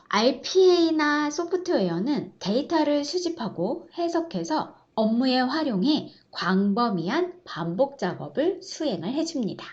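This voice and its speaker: Female e-learning instructor, higher-pitched voice, formal explanatory lecture delivery, slightly dull recording. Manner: explanatory, polite formal address. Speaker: online course instructor. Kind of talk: lecture-style e-learning presentation.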